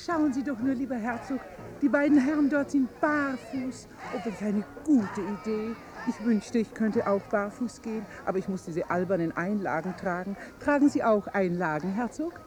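An actress playing a gushing, snobbish high-society lady in a 1950s German radio drama, effusive, affected and chatty. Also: moderate pace, lightly acted. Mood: gushing delight.

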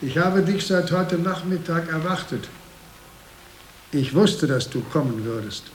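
An actor playing a stern, solemn and menacing very old monk in a radio drama, with drawn-out delivery. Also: male voice, a little high, expressive. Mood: ominous, expectant. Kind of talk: German radio drama acting. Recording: echoing room, faint background noise.

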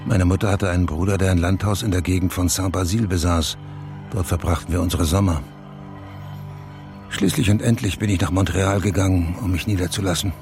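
Reflective taciturn father, deep bass voice, radio drama, music underneath. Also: steady tone, brisk. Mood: reminiscing. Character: taciturn, resigned father haunted by his past, falling in love. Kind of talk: literary radio drama monologue. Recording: music bed behind voice.

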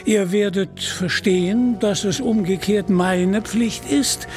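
A man's voice: high-pitched, takes it slowly, soft background music, pitched above the performer's own voice. A man voicing a greedy, pompous medieval bishop in an audio storybook, with condescending mock courtesy. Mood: oily, mock-polite.

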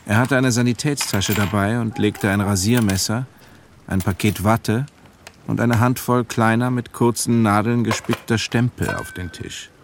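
Ein Schauspieler als sachlicher Erzähler einer literarischen Kriegsgeschichte im Hörspiel, gemessen und nüchtern vorgetragen. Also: male voice, lowish voice, steady tone, background noise, close to an everyday voice. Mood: sober. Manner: measured, sober. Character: sober male narrator of a war story. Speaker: narrator. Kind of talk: literary scripted narration. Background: music.

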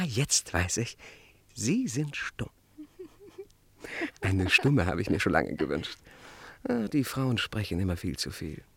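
Vain, swooping young French marquis. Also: male voice, fairly low pitch, bright, forceful tone, no echo, exaggerated voice. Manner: affected, smooth-talking gallantry turning to arrogance. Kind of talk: theatrical radio drama acting.

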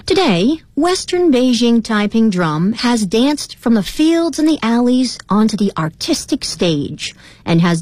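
Woman narrator, fairly high voice, lively intonation, scripted documentary read, faint music underneath. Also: no echo.

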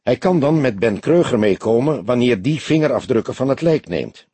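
A man's voice: medium pitch, lively intonation, moderate pace, mellow, husky, no room sound, voice pushed up. A man voicing a young detective in an audiobook, an earnest and self-assured junior colleague who reports precisely and emphatically.

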